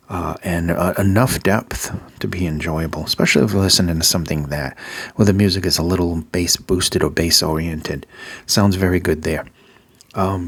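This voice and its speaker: Gravelly low-voiced man with Massachusetts accent. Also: a bit bright. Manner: filler-heavy, repeats praise words. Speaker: audio gear reviewer. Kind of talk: casual product review.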